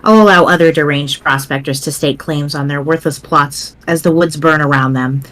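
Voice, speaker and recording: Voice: mid-range voice, normal speed, a bit bright, natural voice, low-quality recording. A performer reading as a wry, grave teenage girl writing a doomsday walkthrough in formal, verbose diction for a webcomic dramatic reading. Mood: solemn, wry.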